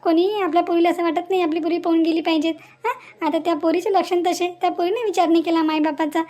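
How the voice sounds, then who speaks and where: A woman's voice: very high voice, fairly even intonation, quick pace, warm tone. A woman voicing a worried, folksy village woman in a cartoon family drama, with a Varhadi Marathi accent and a plaintive manner. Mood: reproachful, sorrowful.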